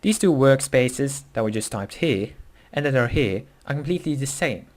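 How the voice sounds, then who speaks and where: A man's voice: mid-range voice, animated, normal speed. A young man with a Dutch accent, speaking English as a second language, walking through a screen-recorded coding tutorial in a casual, hesitant way with many fillers and self-corrections. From Netherlands. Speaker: tutorial host.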